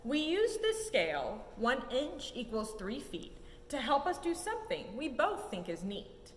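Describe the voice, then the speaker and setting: A young adult woman reads a rhyming children's picture book aloud in an expressive, sing-song way with emphatic swoops. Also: measured pace. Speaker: story time reader at a museum. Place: indoor museum exhibit room. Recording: echoey room with low rumbling noise.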